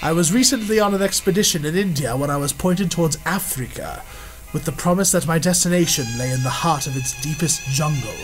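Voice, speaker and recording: A pompous, boastful explorer, played by a man, holds court in a vintage-style radio adventure serial. His voice sits slightly high and carries a theatrical swagger, with soft music running beneath. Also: fairly quick, natural voice. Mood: condescending. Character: pompous boastful male explorer, middle-aged. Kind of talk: 1930s radio serial acting.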